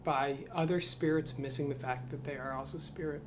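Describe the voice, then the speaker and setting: A man reading a spiritual book aloud to camera. He adds slow, musing commentary, questions himself, and trails off into mumbles. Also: a little high, steady tone, noisy background.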